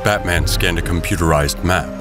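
A man's voice: sits low, normal speed. A man reading scripted dramatic story narration as the narrator. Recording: loud music bed. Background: loud music.

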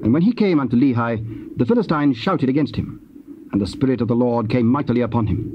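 A man giving a solemn, measured scripted narration of scripture. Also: mid-range voice, expressive. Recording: muffled, noisy old cassette transfer.

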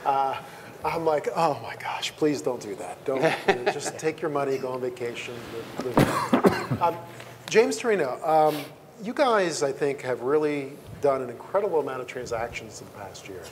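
A man's voice: medium pitch, lively intonation, moderate pace, background noise. A middle-aged man moderating a panel on a conference stage in a conversational way. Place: conference stage before an audience.

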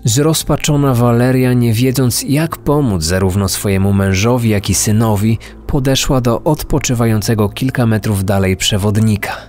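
A man's voice: medium pitch, normal speed, hardly any pauses, darkish tone. A man narrating a scripted true-crime podcast. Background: faint music.

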